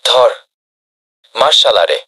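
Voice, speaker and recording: A man's voice: medium pitch, expressive, takes it slowly, sharp tone, breathy edge, no echo, studio quality, exaggerated voice. A man playing a commanding, indignant villager in a comedy cartoon, rallying the others. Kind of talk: cartoon voice acting.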